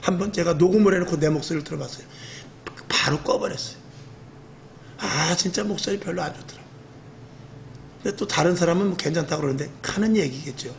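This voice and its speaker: A middle-aged male pastor preaching an evening sermon in a fairly high, rough, earthy voice. He speaks in a lively way and often acts out other people's lines. A faint hum sits behind him. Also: brisk, pauses often. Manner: lively, acts out quoted speech, self-mocking humor.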